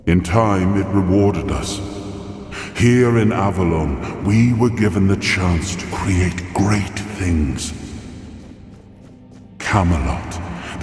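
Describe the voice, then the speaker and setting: A man's voice: low voice, darkish tone. An actor playing a weary ancient king in a video game, solemn and brooding, with a lost air. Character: weary ancient king, amnesiac, freed from long imprisonment. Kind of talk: dramatic video game cutscene acting and narration. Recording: echoing hall reverb under prominent music. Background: music.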